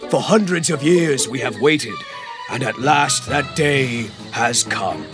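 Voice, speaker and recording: A man's voice: mid-range voice, slow, close to an everyday voice. A man playing a solemn, courteous jungle chief in a cartoon game, speaking in a grand, formal manner. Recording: game cutscene with music underneath.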